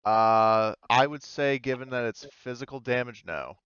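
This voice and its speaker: A man acting as game master for a tabletop game, narrating off the cuff in a halting, casual way, often searching for words. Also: mid-range voice, highs cut off, background noise.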